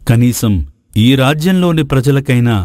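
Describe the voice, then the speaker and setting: A man's voice: mid-range voice, normal speed, a little mellow, dry recording, clean studio sound, natural voice. A man playing a greedy, courtly ascetic sage in a moral folk tale, with formal, theatrical phrasing. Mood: curious, gracious. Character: flattering, greedy male ascetic sage, courtly and self-serving.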